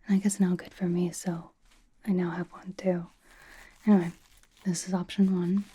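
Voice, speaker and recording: A woman voicing a blunt but cozy young female pop star in a breathy, casual, halting ASMR whisper, doting on the listener. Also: fairly low pitch, level tone, warm tone. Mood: wry, casual. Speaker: ASMR roleplay performer. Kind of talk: whispered ASMR personal-attention roleplay.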